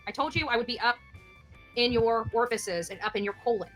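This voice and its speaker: A woman's voice: on the high side, fairly quick, fairly bright tone, soft background music, low-quality recording. A woman YouTuber talking off the cuff in a brash, mocking, repetitive way. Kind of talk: unscripted, taunting online monologue.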